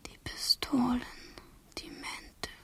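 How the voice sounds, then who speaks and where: An actress playing a drowsy young girl in love in a poetic radio drama, dreamy and hushed, speaking slowly in a breathy voice. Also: whispered. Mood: dreamy, yearning. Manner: slow, sleepy, hushed.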